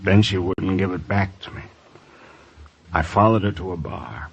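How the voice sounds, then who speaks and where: A man acting an anguished, confessing husband in an old radio crime drama, halting and desperate. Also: deep voice, creaky, breathy edge, muffled recording, heavily acted. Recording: old radio recording with background hiss.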